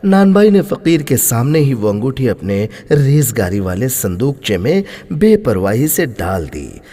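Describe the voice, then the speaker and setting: A man narrating a scripted moral story in a dramatic, expressive way. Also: medium pitch, a little slow. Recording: long hall-like reverb.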